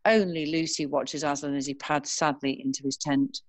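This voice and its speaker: A woman narrating a screenplay, reading scene headings and stage directions in a measured way. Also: low voice, steady tone, no echo.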